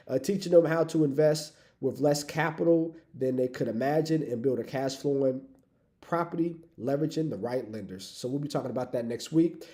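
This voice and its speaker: A man hosting a livestream with a cold, raspy and stuffy-nosed, talking casually and hyping up his chat. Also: a little high, dark tone. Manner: upbeat, hypes the chat, says "y'all". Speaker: livestream host.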